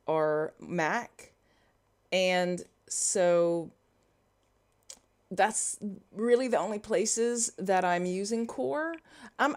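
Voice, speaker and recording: A woman from Louisiana with a Cajun English accent, casually chatting through a livestream coding tutorial. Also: medium pitch. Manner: chatty, reads viewer comments aloud and riffs on them. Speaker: livestreaming developer.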